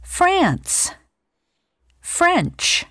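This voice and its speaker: A woman clearly pronouncing each word of a vocabulary list on a course audio track, played back during a lesson. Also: very high voice, huge pitch swings, fairly bright tone, dry recording, clean studio sound. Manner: clear, deliberate word-by-word pronunciation. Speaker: textbook audio speaker.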